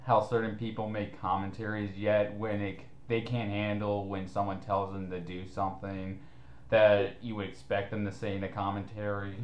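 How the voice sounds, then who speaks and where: Male co-host with a lowish voice, snarky foul-mouthed banter, recorded in an echoey room. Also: flat delivery, moderate pace, slight background noise. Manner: sarcastic, chuckling, profane. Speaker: co-host reading his written replies to comments. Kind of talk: casual mocking commentary.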